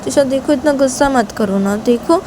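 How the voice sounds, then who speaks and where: A woman's voice: on the high side, animated, normal speed, noisy background, pitched above the performer's own voice, lightly acted. A woman voicing a placating, exasperated young woman in a story, coaxing and repeating herself.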